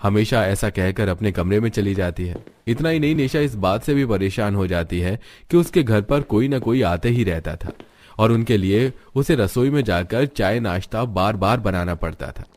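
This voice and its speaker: Low-voiced male story narrator. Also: animated, normal speed, a little mellow, slight background noise. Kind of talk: scripted cartoon story narration.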